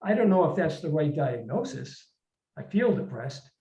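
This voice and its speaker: In a roomy, slightly muffled recording, a man reads the part of a put-upon husband in his forties from a short story. He is depressed and defensive, grumbling in weary everyday language with a mellow tone. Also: a little high, big pitch swings, moderate pace. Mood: glum, defensive. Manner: weary, complaining, colloquial.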